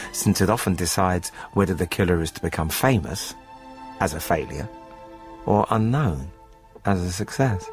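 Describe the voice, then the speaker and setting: An actor playing a cold, methodical poultry farmer who confesses to murder in a formal, matter-of-fact, unrepentant radio drama narration. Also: male voice, low-pitched. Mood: detached, self-assured.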